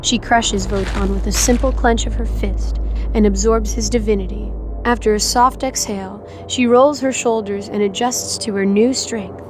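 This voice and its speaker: A woman's voice: mid-range voice, steady tone, normal speed, over music. A woman reading scripted dramatic narration as the narrator of an audio drama.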